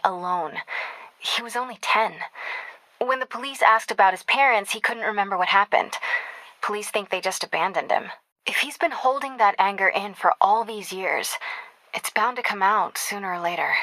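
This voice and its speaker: An actress with an American accent playing a caring, determined young reporter in a video game, speaking in an earnest, conversational, confiding way. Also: female voice, mid-range voice, normal speed, sharp tone, dry recording, low-quality recording. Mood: focused. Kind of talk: naturalistic video game acting.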